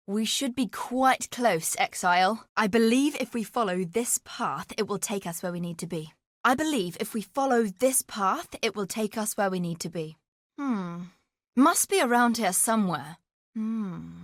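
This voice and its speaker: An actress playing a shrewd, greedy treasure hunter in a video game, telling tales theatrically with dry, sardonic asides. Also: female voice, mid-range voice, no echo, natural voice. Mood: focused. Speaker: video game character voice actor. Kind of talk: scripted game character dialogue.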